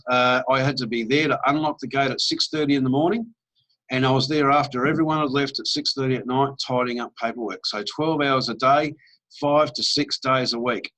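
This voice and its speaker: A man's voice: medium pitch, a bit bright, rough recording. A middle-aged Australian man giving an upbeat, persuasive sales presentation with casual fillers. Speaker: network marketing presenter.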